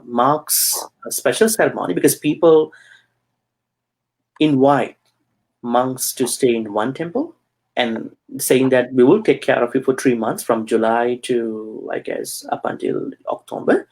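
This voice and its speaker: A man from Sri Lanka, a Buddhist monk, answering interview questions with many pauses and fillers. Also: mid-range voice, lively intonation, a little mellow, low-quality recording.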